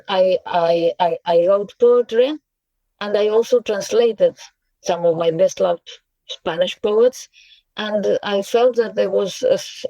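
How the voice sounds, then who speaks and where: A woman around forty, Russian by birth and Russian-speaking from childhood, answering interview questions in a reflective way with frequent pauses and fillers. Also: mid-range voice, dry recording, rough recording. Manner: thoughtful, hesitant, many pauses and fillers, soft laughs. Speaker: poet and translator, interview guest.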